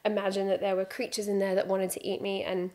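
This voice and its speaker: A woman's voice: mid-range voice, completely flat delivery, fast, mellow. A British woman in her thirties, a podcast guest chatting on a studio sofa and telling her story with self-mocking humor, close to a desk mic. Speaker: podcast guest, freediving instructor. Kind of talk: relaxed interview chat, storytelling. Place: studio sofa with plain wall. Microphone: headphones and desk microphone.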